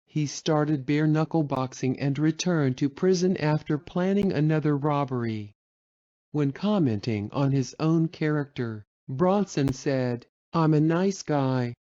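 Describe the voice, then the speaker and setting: A man narrates a documentary in a steady, measured read. His voice sits a little high and sounds mellow and soft-edged. The audio is slightly dull and lo-fi.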